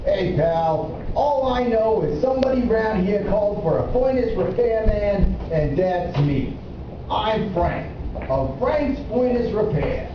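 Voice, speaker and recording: A man's voice: high-pitched, animated, measured pace. A man voicing a blunt, impatient, wisecracking working-class repairman in a children's puppet show. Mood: brusque, impatient. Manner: blunt, informal, slangy, impatient. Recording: echoey, muffled, noisy room, far from mic.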